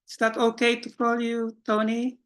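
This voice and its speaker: A man with a bright, forward voice acts as host of an online webinar. He speaks in a measured, formal and courteous way, as if addressing an audience over a video call. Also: very high-pitched, completely flat delivery. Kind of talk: welcoming introduction to an online webinar.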